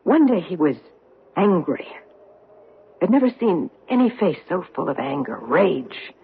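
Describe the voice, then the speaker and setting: An actress in an old-time radio drama playing a vengeful survivor confronting her enemy with deliberate, accusing intensity. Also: fairly low pitch, sing-song, vocal fry, a little hiss or hum, heavily acted. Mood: bitter, haunted. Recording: muffled vintage radio recording.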